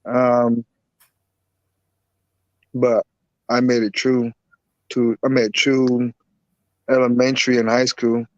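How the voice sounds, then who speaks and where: A man from Barbados, a guest herbalist and boxer, talking in a relaxed, rambling way with lots of repeats. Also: mid-range voice, slow pace, long pauses, no echo, dull audio. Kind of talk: casual interview talk.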